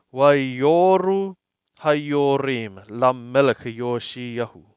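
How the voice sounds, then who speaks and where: A man reading scripture aloud and translating it phrase by phrase in a deliberate, halting manner. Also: medium pitch, clear, resonant tone, dry recording. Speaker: Bible reader and translator.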